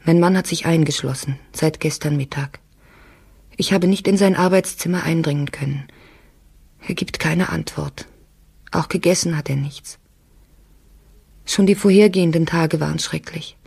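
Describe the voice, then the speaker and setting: A woman playing an anxious wife, reading her letter in a pleading, husky and creaky voice in an audio drama. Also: deep voice, moderate pace, long pauses, a little mellow, full character voice.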